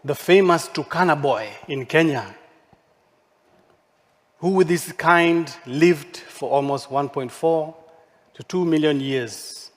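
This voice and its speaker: A man who speaks English as his second language, giving a measured, poetic keynote lecture with deliberate rhetorical questions. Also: on the high side. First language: not English. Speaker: architect giving a keynote talk. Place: large hall at a forum. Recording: long hall reverb, stage mic.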